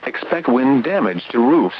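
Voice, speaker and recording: A synthetic man's voice reading a formal, measured, scripted emergency weather bulletin as an automated announcer over weather radio. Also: mid-range voice, very animated. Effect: weather radio broadcast. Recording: muffled weather radio, hum and static.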